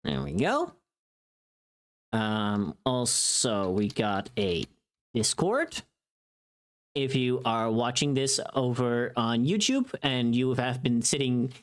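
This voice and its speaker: Medium-pitched voice, tired from long use, casual livestream chat, rambling, informal. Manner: rambling, informal, mildly sweary.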